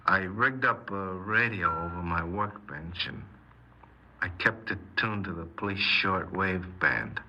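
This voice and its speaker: A man's voice: sits low, measured pace, highs cut off. An actor playing a sullen, aimless young man confessing his crimes in an offhand, hesitant way, in 1950s radio drama style. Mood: hesitant, subdued. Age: young adult. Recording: noisy old 1950s radio recording.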